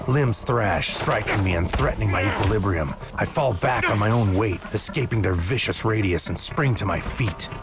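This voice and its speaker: Low male lead acted by a man: wary noir investigator, brooding measured narration, sci-fi audio drama, music bed. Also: close to an everyday voice. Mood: urgent, tense. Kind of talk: first-person scripted audio drama narration, present tense.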